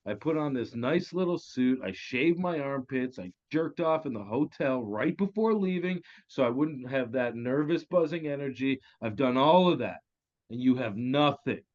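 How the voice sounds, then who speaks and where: A man's voice: medium pitch, lively intonation, moderate pace, a little mellow, natural voice. Man playing a cocky, menacing crime boss, muffled call. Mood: gloating, scornful. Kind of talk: comedy screenplay table read. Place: virtual table read over video call. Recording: dull, lo-fi online call.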